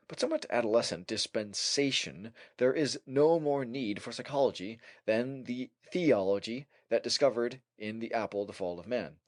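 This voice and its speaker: A man narrating a scholarly essay as an audiobook, in a formal and measured manner. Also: mid-range voice, no room sound, clean studio sound.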